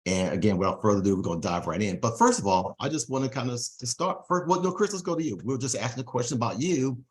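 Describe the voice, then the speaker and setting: A man's voice: mid-range voice, animated, fast. A man hosting a live online podcast interview, hyping up his guest with casual slang and repeated phrases, speaking over a video call. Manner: hype emcee energy, casual slang, repeats himself. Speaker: podcast host.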